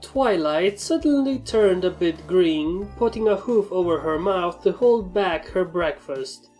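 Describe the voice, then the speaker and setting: A woman narrates a scripted story at a very slow pace. Her voice is a little low for a woman. Music plays softly behind her. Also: expressive.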